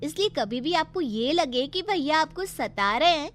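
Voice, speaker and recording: High-voiced, girlish young sister-in-law played by a woman. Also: lively intonation, unhurried, fairly bright tone. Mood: playful, affectionate. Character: friendly, timid young sister-in-law from the city.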